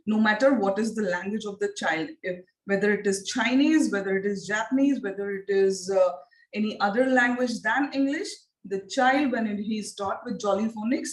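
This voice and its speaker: A woman with an Indian accent leading an online phonics training for teachers, speaking deliberately and repeating her questions to the trainees. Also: fairly high pitch, echoey room, rough recording. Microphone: video call.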